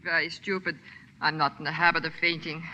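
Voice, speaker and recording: An actress playing a proud, frightened young wife in 1940s radio drama, earnest and halting. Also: female voice, low voice, little pitch movement, bright, forceful tone. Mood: contrite, earnest. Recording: dull, band-limited old radio broadcast.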